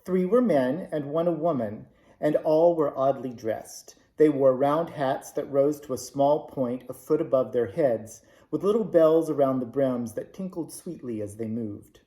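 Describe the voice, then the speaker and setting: A man reading a classic children's novel aloud, with measured, expressive narration. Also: medium pitch. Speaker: storybook reader. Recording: roomy echo.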